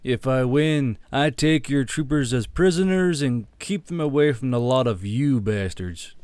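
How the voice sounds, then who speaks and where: A grim field commander, played by a man reading aloud, blunt and tired of war. The voice sits at a middling pitch with a dry, close sound, deliberate and defiant, in the manner of a fantasy war story. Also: expressive, a little mellow, smooth, no room sound, lightly acted.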